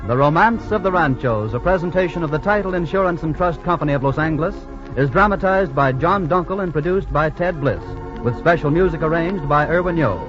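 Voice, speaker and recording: A man working as a radio announcer, reading a script in a formal, measured 1940s broadcast style. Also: a little high, lively intonation, lo-fi sound, over music.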